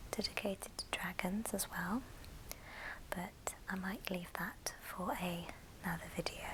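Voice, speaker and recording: Whispering woman, slow ASMR. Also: medium pitch, poor audio. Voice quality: soft, breathy whisper. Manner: unhurried, gentle, in short phrases. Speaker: ASMR video host. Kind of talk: ASMR show and tell.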